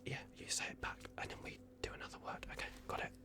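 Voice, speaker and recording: A performer voicing a soft-spoken, bumbling vampire doctor in an ASMR roleplay, whispering hesitantly and repeating reassurances. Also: fast, lightly acted. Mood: calm, instructing. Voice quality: whispered, creaky. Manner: hushed, hesitant, repetitive, reassuring.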